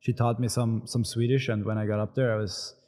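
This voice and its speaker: A man in his twenties with an Austrian German accent, a pro hockey player answering interview questions in a halting way, full of fillers and restarts. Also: mid-range voice, level tone, darkish tone. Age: 20s. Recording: long reverb, echoey room.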